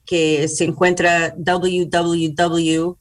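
Slow, halting bilingual woman in an interview. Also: on the low side, little pitch movement. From New York, United States. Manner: halting, searching for words in Spanish, slips into English words. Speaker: hospital public relations manager, interview guest. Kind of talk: interview answers.

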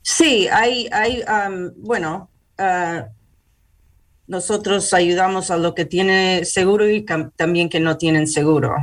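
Slow, halting bilingual woman in an interview. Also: fairly low pitch. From New York, United States. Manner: halting, searching for words in Spanish, slips into English words. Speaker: hospital public relations manager, interview guest. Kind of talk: interview answers.

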